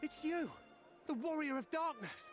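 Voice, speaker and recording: A man voicing an eager, earnest townsperson in informal, excitable video game dialogue. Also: very high-pitched, a little slow, faint music behind, heavily acted. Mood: excited, earnest.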